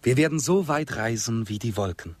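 An actor playing a carefree, devoted young Comanche chief's son in a radio drama, with a husky voice. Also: male voice, mid-range voice, expressive, moderate pace, a little mellow, no room sound, natural voice. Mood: tender, devoted.

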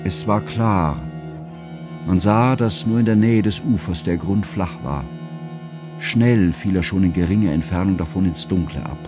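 A man acts a grave narrator looking back in a literary radio drama. His low voice is measured and precise, elegiac in tone. Instrumental music plays clearly behind him. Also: close to an everyday voice. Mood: sober, reflective. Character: sober, elegiac narrator recalling his lifelong friend.

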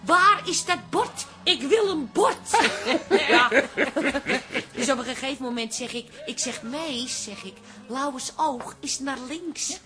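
High-voiced, chatty barmaid Toos. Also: female voice, lively intonation, slow pace, over music. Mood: amused, chatty. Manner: bubbly, giggly, colloquial storytelling. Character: cheerful, chatty, gossipy female barmaid, Toos. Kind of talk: comic Dutch radio play acting.

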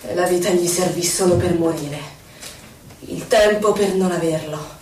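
A woman's voice: lowish voice, a little mellow, a little hiss or hum. Echoey woman solemnly reciting poetry. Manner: solemn, declamatory, measured. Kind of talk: theatrical poetry recitation with music. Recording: echoey, distant stage recording with music. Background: prominent violin music.

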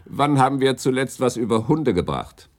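An actor playing a blustering, effusive broadcasting director in a radio play, imperious and theatrical in manner. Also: male voice, medium pitch, sing-song, normal speed, lightly acted. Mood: brusque, irritated.

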